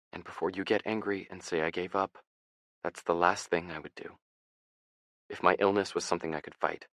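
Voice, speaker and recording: A man voicing a dying mother reading her farewell letter to her sons in a soft, steady, earnest way. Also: low-pitched, dry recording, studio quality.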